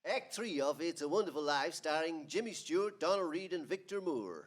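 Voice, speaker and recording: A man's voice: fairly high pitch, expressive, moderate pace. A man announcing and narrating a 1940s radio drama in a formal, dramatic style. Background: music.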